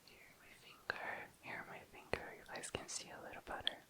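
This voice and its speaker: An ASMR host whispering in a breathy, unvoiced voice, musing casually and tentatively, close to the mic. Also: a little fast. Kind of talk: whispered ASMR, casual makeup swatching narration.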